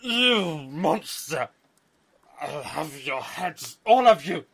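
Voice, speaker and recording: A man's voice: a little high, lively intonation, takes it slowly, fairly bright tone, exaggerated voice. A man playing a haughty, blustering drunken nobleman in a fantasy audio drama. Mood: outraged, panicked. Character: pompous drunken male noble, whiny and cowardly.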